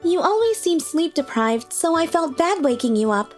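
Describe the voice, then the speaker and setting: A woman plays a gentle, caring high school girl from a manga dub, with a high, bright voice and a chatty, kindly way of talking. Faint music sits under her lines. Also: big pitch swings, moderate pace. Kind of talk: manga dub voice acting and narration.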